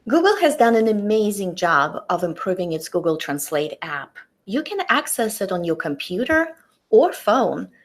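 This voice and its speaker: A woman's voice: mid-range voice, sing-song. A middle-aged woman teacher with a Russian accent, a native Russian speaker, carefully demonstrating a tool in a webinar on a computer mic. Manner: careful, deliberate, demonstrates on screen. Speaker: teacher presenter.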